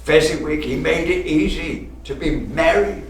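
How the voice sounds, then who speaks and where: A man acting in a radio play as a fearful old curmudgeon of a businessman, halting and theatrical, full of exclamations. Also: on the high side, lightly acted. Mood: nostalgic wonder. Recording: echoey, distant stage recording, faint noise.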